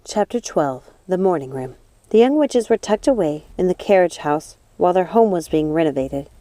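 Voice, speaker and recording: A woman reading scripted storybook narration in a measured, expressive manner. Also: fairly low pitch, no echo. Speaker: audiobook narrator.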